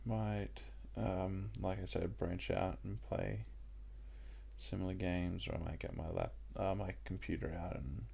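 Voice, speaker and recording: A young Australian man with a croaky voice, chatting casually and rambling with lots of ums while he plays a puzzle game. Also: low voice, measured pace. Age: young adult. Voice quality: croaky, gravelly voice. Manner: rambling and relaxed, with many ums. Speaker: gaming YouTuber. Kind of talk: casual let's-play commentary.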